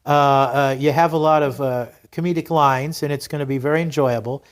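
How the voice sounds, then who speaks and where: A man's voice: medium pitch, fairly even intonation, fairly quick. A man hosting a podcast, chatting casually with his guests in an encouraging, appreciative way as he interviews them.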